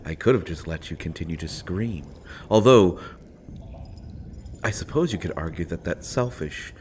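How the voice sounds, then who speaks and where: A man playing a sardonic imprisoned assassin in a roleplay audio drama, speaking deliberately with wry mock courtesy and pointed pauses. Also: low-pitched, animated, a little mellow, a little hiss or hum. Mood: dryly amused. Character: sardonic imprisoned male assassin, principled, coolly amused. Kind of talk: scripted roleplay audio drama, addressed to listener.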